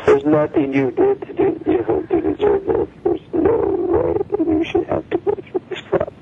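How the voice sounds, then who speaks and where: A man's voice: very high voice, huge pitch swings, moderate pace, gravelly. A man pleading and apologizing on a phone call, his voice breaking with tears. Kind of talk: emotional phone conversation. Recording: tinny noisy phone line.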